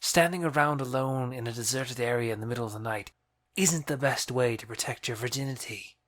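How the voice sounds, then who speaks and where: A man's voice: mid-range voice, brisk, a bit bright, no room sound, clean studio sound, natural voice. A man playing a scornful, exasperated young lover in an audio drama, with a curt manner. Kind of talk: theatrical audio drama acting.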